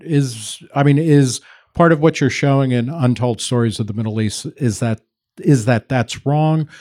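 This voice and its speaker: A man hosting an interview, feeling his way through conversational questions in a halting manner. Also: medium pitch, darkish tone. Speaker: interviewer, host.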